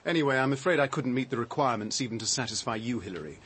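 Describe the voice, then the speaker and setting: An actor playing a shaken, defensive man with a halting, reflective, dry delivery in a radio drama. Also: medium pitch, lively intonation, a bit bright, a little hiss or hum, natural voice. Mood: defensive, sardonic. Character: shaken man recounting his discovery, defensive, sardonic.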